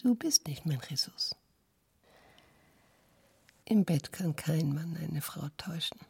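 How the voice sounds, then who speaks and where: An actress playing a disillusioned woman in a radio play, coldly accusing her lover in a husky half-whisper. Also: deep voice, animated, takes it slowly, a little mellow, heavily acted.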